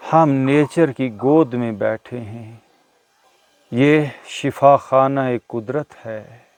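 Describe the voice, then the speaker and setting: Slow, deliberate man guiding meditation outdoors. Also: medium pitch. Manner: slow, deliberate, with short pauses between phrases. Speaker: yoga and meditation teacher. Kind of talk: guided meditation. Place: outdoors in a natural setting. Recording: outdoor recording with birdsong behind. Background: birdsong.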